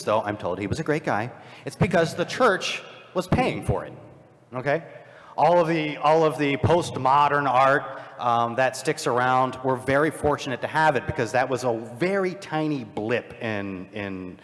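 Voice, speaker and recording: A man's voice rings through a big, reverberant hall. He talks as a live panelist, improvising with a wry, declamatory delivery and joking asides. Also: medium pitch.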